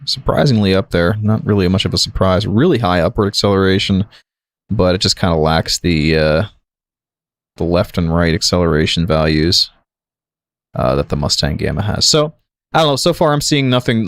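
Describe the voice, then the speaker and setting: A man's voice: low-pitched, lively intonation, moderate pace, no room sound, clean studio sound. A man hosting a gaming livestream, thinking aloud in an analytical, jokey way with casual commentary. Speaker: livestream gamer, host.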